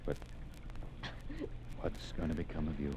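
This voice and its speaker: A man playing a gentle, worried father in a 1950s radio drama, soothing and restrained. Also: a bass voice, level tone, a little slow. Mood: gentle concern. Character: gentle, steady, worried father comforting his son. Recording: noisy, distant 1950s radio recording.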